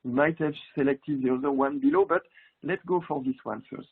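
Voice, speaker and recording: A man's voice: mid-range voice, brisk, breathy edge. A man with a French accent giving a technical webinar presentation, hesitant with many fillers and restarts. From France. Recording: tinny phone-like line.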